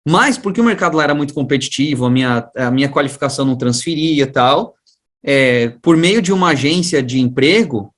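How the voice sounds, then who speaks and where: A man with a fairly high-pitched voice and a Brazilian accent from the São Paulo region. He talks as an interview guest, recounting things informally. His way of speaking is chatty and full of everyday slang. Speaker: guest, science teacher and education researcher. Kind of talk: casual conversational storytelling.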